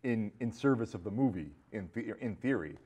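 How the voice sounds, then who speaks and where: A man hosting a casual film discussion show, talking in a halting way and often repeating and rephrasing his words. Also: fairly low pitch, lively intonation, mellow, husky. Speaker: film talk show host.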